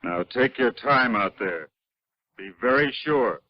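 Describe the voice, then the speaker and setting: An actor playing a concerned, cautious spaceship commander in a 1950s radio drama. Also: male voice, mid-range voice, animated, slow pace, no room sound. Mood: urgent concern. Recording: muffled, dry vintage radio recording.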